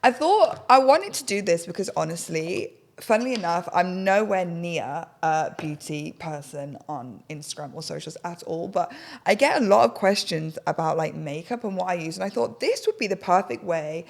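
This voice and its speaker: A young woman, a little husky today, chatting casually while doing her makeup in a home studio. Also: on the low side, animated, normal speed. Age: young adult. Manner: chatty, rambling, self-deprecating. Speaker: podcast host. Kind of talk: casual get-ready-with-me chat. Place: bright home studio, indoors. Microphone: desk podcast mic on an arm.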